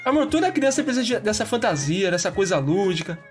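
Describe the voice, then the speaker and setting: A woman voices an easygoing Brazilian dad in a cartoon sketch. He speaks very fast and cheerfully, with some music behind. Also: medium pitch, sing-song, close to an everyday voice. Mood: placating. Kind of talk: cartoon comedy sketch acting.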